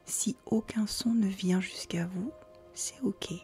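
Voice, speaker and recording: A woman guiding a relaxation meditation in a soft, breathy near-whisper, calmly giving soothing instructions with pauses. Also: medium pitch. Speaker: yoga nidra guide. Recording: faint music behind the voice.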